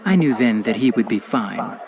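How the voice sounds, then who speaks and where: A man playing an earnest, courteous guardian from an old family in a game, speaking in a formal, measured, articulate way. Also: lowish voice, animated, vocal fry, a crowd in the background. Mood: solemn, explanatory. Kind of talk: scripted game dialogue.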